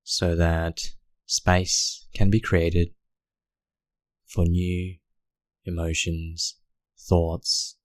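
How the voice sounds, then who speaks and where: A man guiding a meditation in a gentle, unhurried way, speaking in short phrases with long pauses. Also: sits low, monotone, dry recording. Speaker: meditation guide. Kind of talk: guided meditation narration.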